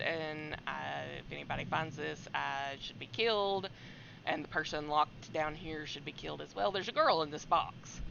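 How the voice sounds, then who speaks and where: A woman game streamer giving casual live horror game commentary in a halting, reactive way. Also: deep voice, creaky, fairly bright tone. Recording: slightly dull audio, faint noise.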